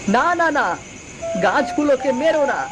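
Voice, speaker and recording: A woman's voice: high-pitched, big pitch swings, measured pace, music in the background, rough recording. A woman voicing a brave little boy in a cartoon, speaking in an earnest, imploring way. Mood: frightened, pleading. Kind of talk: cartoon voice acting.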